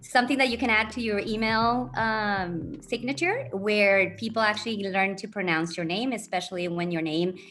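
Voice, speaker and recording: A middle-aged woman from Colombia, a guest speaking earnestly about her path and giving advice, pausing often with ums, heard over a video call. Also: mid-range voice, a bit bright. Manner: earnest, reflective, hesitant with many ums. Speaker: university diversity officer, guest.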